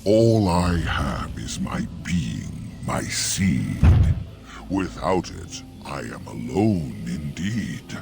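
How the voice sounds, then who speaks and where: An actor voicing an ancient, courteous, mournful talking tree in a fantasy game, with a deep creaky voice and solemn, archaic rhyming verse. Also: male voice, big pitch swings, extremely slow, music in the background, full character voice.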